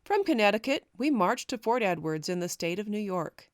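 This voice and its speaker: A woman narrating a historical memoir as an audiobook, reading in a measured, formal way. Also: mid-range voice, sing-song, no echo, clean studio sound.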